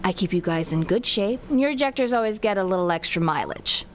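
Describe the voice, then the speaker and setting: A woman's voice: mid-range voice, lively intonation, fast talker, lightly acted. Woman playing a dry, serious young female mechanic, noisy ambience. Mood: casual. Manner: dry, wry, casual. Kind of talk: comedy sci-fi audio drama acting. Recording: clear background ambience noise.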